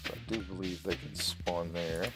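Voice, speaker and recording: Man, low pitch, casual let's-play host, unhurried, thinking aloud, prominent game music underneath. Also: steady tone. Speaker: gaming video host. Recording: loud game music behind voice. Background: video game music.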